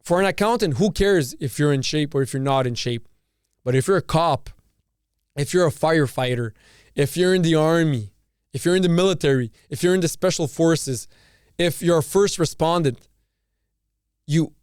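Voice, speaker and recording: Male podcast host, Serbian-born, ranting solo, blunt and profane, fairly high voice, clean close dry sound. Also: moderate pace, pauses often, darkish tone, clear, resonant tone, no echo. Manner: blunt and profane, repeats words and restarts. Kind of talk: opinionated solo monologue.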